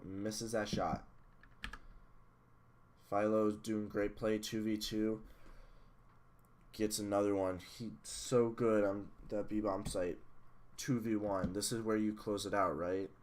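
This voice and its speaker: A man reviewing a match replay as a coach, blunt and analytical, a little hoarse from losing his voice. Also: lowish voice, little pitch movement, unhurried, long pauses, a little hiss or hum. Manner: analytical, blunt, swears at software glitches. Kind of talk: casual match review commentary.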